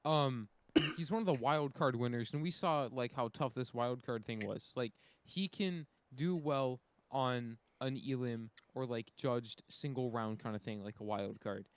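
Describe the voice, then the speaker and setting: A man chatting casually as a podcast panelist, slangy and excitable, often doubling back on his words. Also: medium pitch, measured pace, clear, resonant tone. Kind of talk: casual chat.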